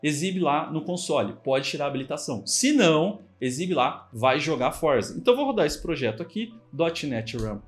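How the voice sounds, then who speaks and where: A man's voice: on the high side, lively intonation, a little fast, few pauses. A man with a Brazilian Portuguese accent, a programming instructor walking through code in a casual, chatty screen-recorded tutorial and often checking in with the viewer. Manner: casual, chatty explaining with frequent check-ins.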